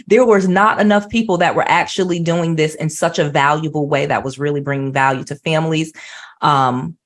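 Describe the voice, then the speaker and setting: A woman's voice: low-pitched, steady tone, brisk. A woman hosting a casual interview, talking conversationally with frequent restarts and check-ins like "you know what I mean". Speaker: host.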